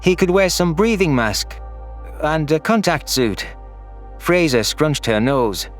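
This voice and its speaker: A man's voice: a little high, lively intonation, slow pace, faint music behind. Man narrating an anguished first-person sci-fi story. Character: anguished, guilt-ridden, irritable male alien narrator.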